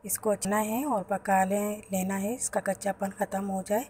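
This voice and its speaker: Lady doing a kitchen recipe voiceover on her phone, mellow and pretty monotone. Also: medium pitch, normal speed, slight background noise. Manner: explains each step plainly to viewers. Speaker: cooking channel host. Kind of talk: home cooking tutorial voice-over. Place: home kitchen.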